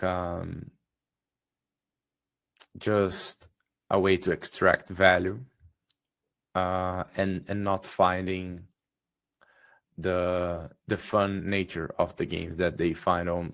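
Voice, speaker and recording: A man speaking English with a Portuguese accent, not his first language, slightly hoarse from being sick, presenting on a video call with many hesitations and restarts. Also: sits low. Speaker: guest presenter. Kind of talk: webinar presentation.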